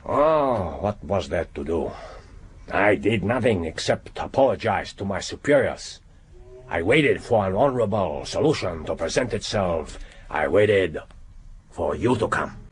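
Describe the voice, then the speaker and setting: An actor playing a commanding, wry older Japanese intelligence chief in a radio drama, with a Japanese accent and formal, deliberate phrasing. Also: male voice, low voice, expressive, breathy edge, full character voice. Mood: grave. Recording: noisy radio drama recording.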